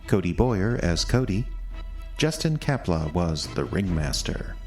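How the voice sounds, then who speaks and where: A man reading scripted credits as an announcer for an audio drama, formal and deliberate. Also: low-pitched, very animated, faint music behind.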